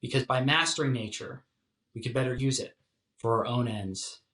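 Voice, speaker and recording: A man delivering a scripted academic lecture in a measured, articulate, emphatic way, like a professor. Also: medium pitch, a bit bright. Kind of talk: scripted philosophy lecture narration. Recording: roomy, echoing sound.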